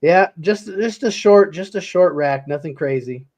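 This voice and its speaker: A man in his late thirties co-hosting a sports show, laid-back and slangy, quick to laugh. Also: a little high, darkish tone, slight background noise. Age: 30s. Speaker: co-host. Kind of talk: casual sports talk.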